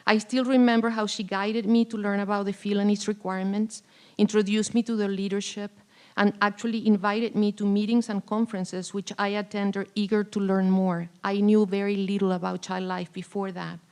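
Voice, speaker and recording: A woman's voice: mid-range voice, level tone, moderate pace, a little mellow, clear, resonant tone. A woman presenting an award with a prepared, formal tribute speech. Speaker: award presenter, faculty colleague.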